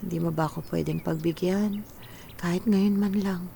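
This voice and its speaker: A woman's voice: fairly low pitch, fairly even intonation, normal speed, vocal fry, warm tone, a little hiss or hum. A woman playing an ailing, tender middle-aged mother who pleads hesitantly, in radio drama style. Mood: hesitant, hopeful.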